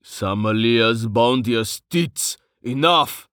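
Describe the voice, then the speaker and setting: A man voicing a gruff, short-tempered male leader of a fantasy adventuring band in an audiobook. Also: lowish voice, flat delivery, slow pace, a little mellow, no echo, clean studio sound, exaggerated voice. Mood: angry. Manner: gruff, snapping.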